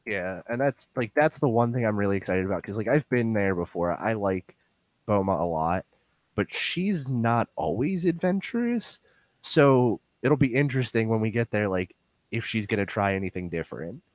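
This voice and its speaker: A young man chats on a podcast in a hoarse, nasal, bassy voice that is close to wearing out. His pitch sits a little low and he rambles with many fillers. The sound is dry and close. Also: animated, normal speed, no room sound. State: hoarse, voice going. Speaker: podcast host. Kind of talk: casual podcast chat.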